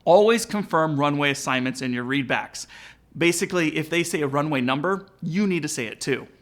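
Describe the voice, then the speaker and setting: A man's voice: on the high side, animated, a little fast. A man presenting an instructional narration as a pilot, explaining in an informal way.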